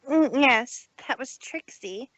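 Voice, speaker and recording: A woman's voice: high-pitched, expressive, slow, fairly bright tone, no room sound, lo-fi sound, heavily acted. A woman voicing a proud but fragile female show pony in a theatrical, self-pitying cartoon style. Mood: nervous. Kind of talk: cartoon-style character acting for a story reading.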